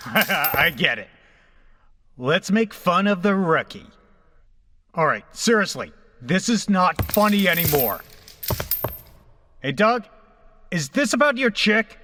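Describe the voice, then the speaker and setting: A man's voice: high voice, sing-song, slow, pauses often, pushed and bright, slight background noise, exaggerated voice. A man playing a brash, rattled young rocker in a horror audio drama, colloquial, incredulous and foul-mouthed. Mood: annoyed, defensive. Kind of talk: horror audio drama acting and first-person narration.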